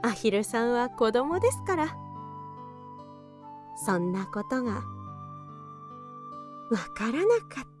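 A woman narrating a children's storybook tale in an animated read-aloud manner. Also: on the high side, slow, pauses often. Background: children's background music.

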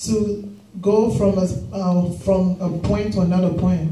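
A man interpreting a sermon live, halting and correcting himself as he goes. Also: sits high, flat delivery, warm tone. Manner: halting, self-correcting translation. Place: church hall. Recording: echoey hall, distant mic.

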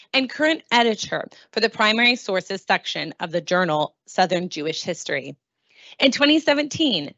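A woman's voice: mid-range voice, a bit bright, no echo, lo-fi sound. Woman introducing a guest speaker. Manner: formal, welcoming, reads lists of names and awards. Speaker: event host introducing a speaker. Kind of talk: reading a prepared introduction. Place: library meeting room with large windows. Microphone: handheld microphone.

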